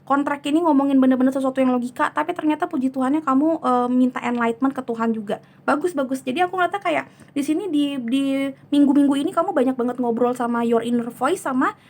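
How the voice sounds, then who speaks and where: A hoarse woman giving a chatty tarot reading, soothing and unhurried, often slipping into English words. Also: sits high, darkish tone. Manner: soothing, chatty, many fillers. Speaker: tarot reader.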